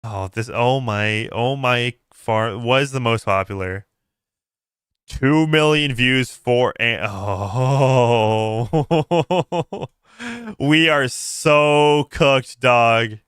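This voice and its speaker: A man hosting a casual livestream, reacting aloud in a halting way, repeating words and breaking off mid-sentence. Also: mid-range voice, a bit bright, clean tone, no echo, clean studio sound. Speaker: livestream host. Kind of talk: casual reaction stream commentary.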